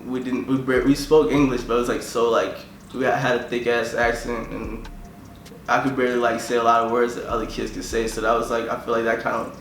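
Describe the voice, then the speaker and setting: A man's voice: medium pitch, level tone, brisk, fairly bright tone, echoey room, slight background noise. A young man from Tanzania, a musician talking casually in an interview and filling nearly every phrase with "like".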